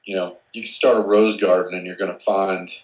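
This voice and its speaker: A man is a guest on an interview podcast. He speaks in a measured way and often restarts his sentences. His low voice comes through dull and lo-fi, with a roomy echo. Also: little pitch movement. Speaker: guest researcher. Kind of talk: conversational interview.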